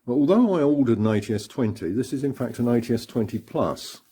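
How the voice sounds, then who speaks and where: A man with a husky voice giving an unhurried, matter-of-fact hands-on demonstration of equipment. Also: medium pitch, animated, warm tone. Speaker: radio hobbyist presenter.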